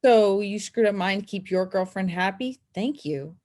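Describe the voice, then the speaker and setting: A woman's voice: mid-range voice, normal speed, darkish tone, lightly acted. A woman playing a stubborn teenage girl snowboarder in a screenplay table read, with a dry, sarcastic manner. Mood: indignant.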